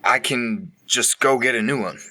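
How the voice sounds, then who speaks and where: A man's voice: medium pitch, moderate pace, bright, forceful tone, low-quality recording. A man playing a teasing, joking husband trading banter in an audio drama. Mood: playful. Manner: joking, chuckling.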